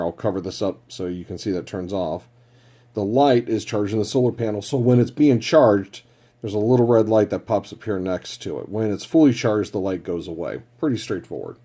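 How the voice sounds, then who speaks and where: Mellow, low-voiced man reviewing products. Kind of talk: casual product review narration.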